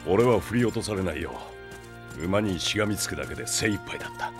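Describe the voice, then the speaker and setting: A man's voice: low voice, animated, quick pace, music in the background. A man playing a thoughtful, commanding king in an anime audio drama, telling his story with grave authority. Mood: solemn, reflective.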